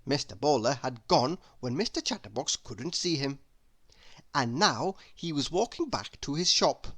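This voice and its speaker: A woman narrating a children's story aloud in an expressive storytelling style. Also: very deep voice, measured pace.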